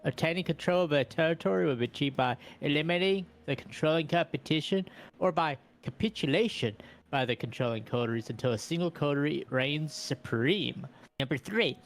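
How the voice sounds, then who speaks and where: A man playing a tabletop game, reading rules out loud with casual asides. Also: fairly high pitch.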